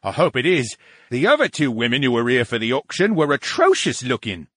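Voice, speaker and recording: A man's voice: medium pitch, wildly swooping pitch, fairly quick, a bit bright, dry recording, studio quality, voice pushed up. A man voicing a needy, grumbling brain in a tank, complaining theatrically in a storybook. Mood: nosy, opinionated. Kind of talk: audiobook character dialogue.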